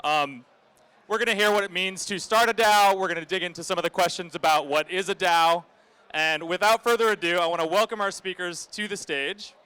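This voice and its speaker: A man hosts a panel from a stage, talking to a live audience. His voice sits high for a man, with a bright, forceful edge and a crisp, clean tone. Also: fairly quick, no room sound, low-quality recording. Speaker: panel moderator. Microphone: stage microphone.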